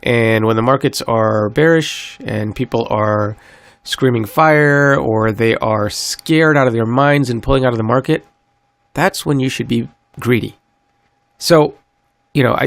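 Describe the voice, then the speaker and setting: A man hosting a solo podcast, a little stuffy from a cold, talking in an earnest, upbeat sales-pitch way. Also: no echo. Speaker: podcast host. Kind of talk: solo crypto podcast monologue.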